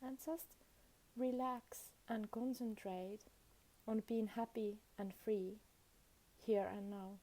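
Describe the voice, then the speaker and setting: A woman leading a guided meditation as a teacher, speaking soothingly in short phrases with long pauses between them. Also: medium pitch, fairly even intonation, mellow.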